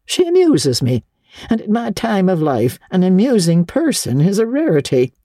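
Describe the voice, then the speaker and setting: A crusty old lady of a classic storybook, acted by a woman, with a husky voice and wide swoops of pitch. She is blunt, stern and old-fashioned in the way she talks. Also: wildly swooping pitch, normal speed, mellow, dry recording, clean studio sound. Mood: grudgingly amused.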